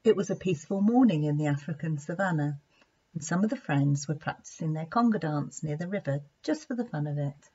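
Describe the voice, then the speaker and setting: A woman reading a children's story aloud in an expressive, deliberate storytelling manner. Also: deep voice, breathy edge. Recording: dull lo-fi sound.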